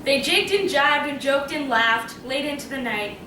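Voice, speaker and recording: A woman's voice: high voice, expressive, moderate pace. A woman narrating a scripted children's story. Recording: echoey room, distant mic, noisy.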